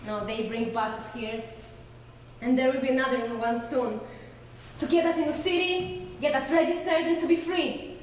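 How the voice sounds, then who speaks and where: A woman's voice: high-pitched, fairly even intonation, normal speed, slight background noise, natural voice. A woman acting a reassuring refugee who comforts her companion in a live stage drama. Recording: distant, very echoey theatre recording.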